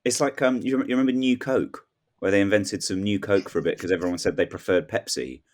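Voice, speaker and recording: A man speaking as a guest on a comedy podcast, in casual, deadpan banter. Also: fairly low pitch, fast talker.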